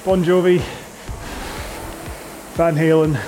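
A man's voice: on the high side, little pitch movement, takes it slowly, dark tone, poor audio. A man coaching a rowing workout in a casual, rambling, jokey and conversational way. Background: music.

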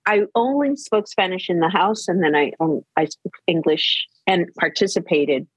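A middle-aged woman, an author and podcast co-host, talking casually and telling stories in a lively way. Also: on the low side, normal speed, husky, dry recording, poor audio. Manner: lively storyteller, laughs easily.

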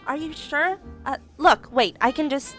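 A woman's voice: sits high, measured pace, music in the background. A woman playing an effusive, apologetic young student on a date in an audio drama, repeating her words for emphasis. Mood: flustered, apologetic.

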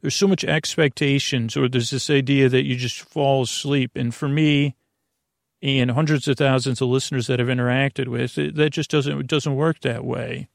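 A man hosting a podcast with a meandering, halting, digressive bedtime monologue. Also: medium pitch, fairly even intonation, gravelly, no echo, studio quality. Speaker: podcast host.

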